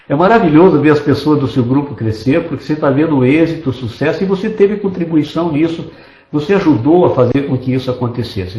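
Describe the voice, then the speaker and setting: A man's voice: mid-range voice, a little mellow, reverberant, lo-fi sound. A man from Brazil giving an online business presentation as a network marketing presenter, persuasive and enthusiastic, stacking lists of points. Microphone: desktop computer mic.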